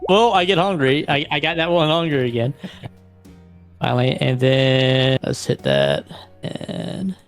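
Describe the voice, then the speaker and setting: Halting man at a roleplaying table. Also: mid-range voice, animated, soft background music. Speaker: player in a tabletop vampire role-playing game. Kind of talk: casual roleplay, narrating his character's actions.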